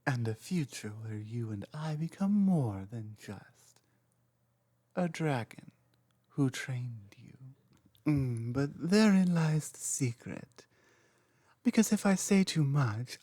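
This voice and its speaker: A woman voicing an ancient, dominant female dragon queen in an ASMR roleplay audio, speaking in a slow, cryptic, commanding way that slips into soft whispers. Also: a bass voice, dry recording, clean studio sound, exaggerated voice.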